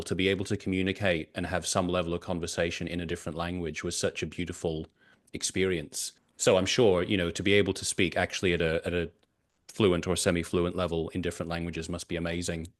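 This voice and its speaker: A native English-speaking man hosts a relaxed podcast interview. His voice is fairly low, and he often restarts and repeats words while working out his questions. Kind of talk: casual interview talk.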